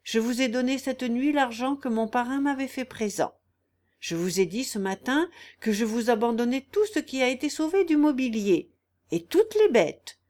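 A woman as a gentle, firm young peasant woman. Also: fairly high pitch, animated, moderate pace, voice pushed up, close to an everyday voice. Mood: calm reasoning. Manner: plain, firm, gently resolute.

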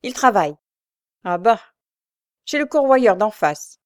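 A woman voicing a harsh, coarse old beggar mother from a story, shrill and snarling in her lower-class speech. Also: big pitch swings, measured pace, no room sound, studio quality. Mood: proud. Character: shrewish old beggar mother, toothless, harsh, brandy-soaked.

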